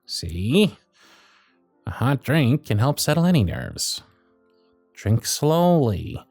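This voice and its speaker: A man playing a gruff but fond elf workshop supervisor in an ASMR roleplay, with a bossy, teasing, sardonic way of talking. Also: medium pitch, very animated, slow, a little mellow, no room sound, faint music behind, full character voice. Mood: teasing, soothing.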